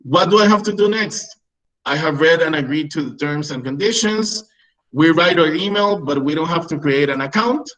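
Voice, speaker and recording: A man's voice: on the high side, expressive, normal speed, a bit bright, rough recording. A man in his thirties whose first language is Spanish, teaching a webinar for English teachers over a video call and often checking in with "right?". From Peru. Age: 30s. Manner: explanatory, frequent "right?" checks.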